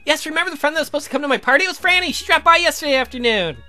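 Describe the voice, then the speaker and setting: A man's voice: very high voice, expressive, racing, bright, forceful tone, faint music behind, voice pushed up, exaggerated voice. A man voicing a sweet, eager young woman with a coy, slightly hesitant manner in a visual novel. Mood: sweet, apologetic.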